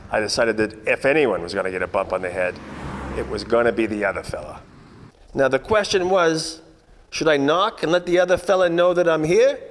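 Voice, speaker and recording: A man's voice: mid-range voice, natural voice. Man acting a hardboiled 1940s detective in echoey hall. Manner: wry, world-weary, slangy deadpan narration. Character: hardboiled, wisecracking 1940s male private detective, burly and cocky. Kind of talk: 1940s radio noir parody acting. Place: theatre production shot before green screen. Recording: echoey hall, faint noise.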